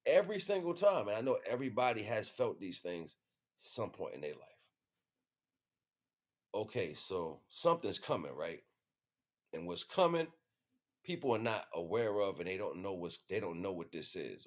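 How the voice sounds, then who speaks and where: A man's voice: on the low side, sing-song, normal speed. An American man livestreaming an informal spiritual monologue to viewers, speaking in emphatic, repetitive, slangy street talk. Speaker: livestream host.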